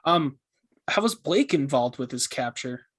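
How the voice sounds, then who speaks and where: A man playing an eager, curious podcast host who asks questions in an earnest, excitable, conversational way. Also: medium pitch, a little slow. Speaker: podcast host interviewing a guest. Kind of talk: conversational scripted podcast interview.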